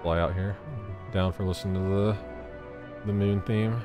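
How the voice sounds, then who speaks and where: A man's voice: low voice, fairly even intonation, normal speed, music in the background. A man giving casual, offhand and sweary commentary as he streams a video game.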